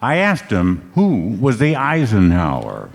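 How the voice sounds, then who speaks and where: A man's voice: lowish voice, wildly swooping pitch, measured pace, vocal fry, exaggerated voice. A man playing a tough, wry private detective who narrates in 1940s radio style. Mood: pressing, grim. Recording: echoey stage hall.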